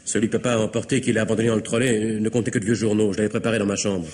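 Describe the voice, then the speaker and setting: Man acting a low-voiced, cornered young son. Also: flat delivery, racing, a little mellow, close to an everyday voice. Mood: defensive confession. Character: guilty, cornered young son, pleading and defiant. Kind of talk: vintage French radio drama acting. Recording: faintly noisy old radio recording.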